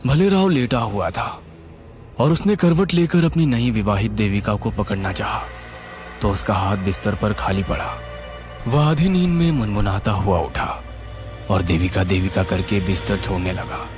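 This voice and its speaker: A man narrating a scripted horror tale in a dramatic, suspenseful and expressive style. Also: fairly low pitch, unhurried. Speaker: storyteller, narrator. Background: music.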